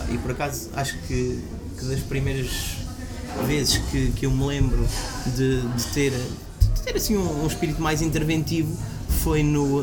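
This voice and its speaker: A young man with a northeastern Portuguese accent, a guest in a casual chat who explains his points earnestly and at length, restarting his sentences often. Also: medium pitch. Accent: northeastern Portuguese (Bragança region). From Miranda do Douro, northeast Portugal. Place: student radio studio, in a café with clinking dishes.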